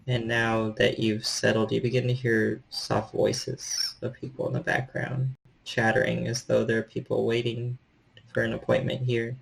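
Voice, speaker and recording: A man working as storyteller, narrating a horror role-playing game in a measured, descriptive way. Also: mid-range voice, level tone, creaky, a little hiss or hum, poor audio.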